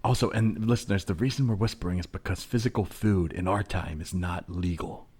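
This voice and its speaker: A man voicing an awed, furtive scholar from the future, speaking in a secretive hush in an improvised comedy sketch. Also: deep voice, a little fast. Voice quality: husky, hushed.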